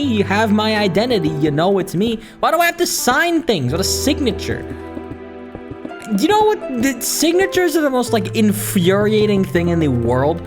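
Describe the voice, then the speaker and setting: A gaming video host giving casual, rambling commentary in a self-deprecating, ranting way that wanders from one thought to the next. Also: mid-range voice.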